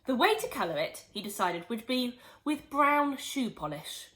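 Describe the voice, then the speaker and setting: Lively woman reading a storybook. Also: on the high side, measured pace, poor audio. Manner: expressive, animated reading. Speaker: teacher reading a children's book. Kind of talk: storybook read-aloud.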